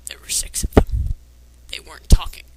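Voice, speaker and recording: A woman's voice: brisk, in a whisper, rough recording. A woman reading fan fiction aloud with sarcastic, critical commentary. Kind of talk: dramatic reading with mocking commentary.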